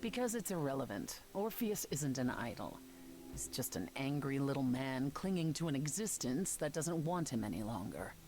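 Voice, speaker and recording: Deep-voiced, imperious exiled underworld queen. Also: big pitch swings, a little mellow, faint music behind. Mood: dismissive. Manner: measured, haughty, dismissive. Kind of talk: dramatic video game voice acting.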